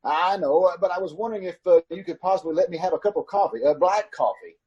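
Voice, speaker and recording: An actor playing an uneasy, haunted male motorist in an old-time radio suspense drama, halting and nervous. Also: high-pitched, darkish tone, slightly rough, dull audio. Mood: nervous, faltering. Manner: halting and nervous, stammering with strangers. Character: haunted, uneasy male motorist narrating his nightmare drive.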